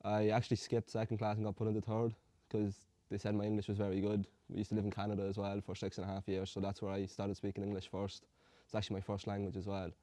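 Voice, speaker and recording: A young man from Hungary, an amateur fighter telling his story in a relaxed, rambling way. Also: fairly low pitch, no room sound. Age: young adult. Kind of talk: casual interview answers.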